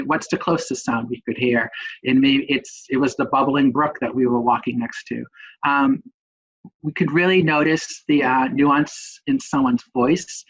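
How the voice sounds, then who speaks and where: A middle-aged man with a Boston accent, a nurse presenting in a webinar, talking haltingly with many ums. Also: medium pitch, a bit bright, husky, muffled recording. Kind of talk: webinar talk.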